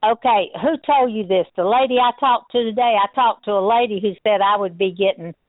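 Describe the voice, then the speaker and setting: Woman customer, mid pitch, plain-spoken, insistent, phone complaint, dry tinny narrow-band line. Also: brisk, no room sound. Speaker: customer calling about a refund.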